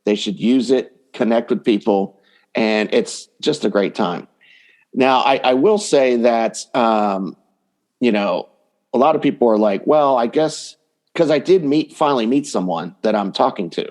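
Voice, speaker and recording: A man's voice: mid-range voice, measured pace, darkish tone. A man chatting informally and enthusiastically as a dating coach and guest, acting out imagined quotes as he gives advice. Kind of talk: casual conversational interview advice.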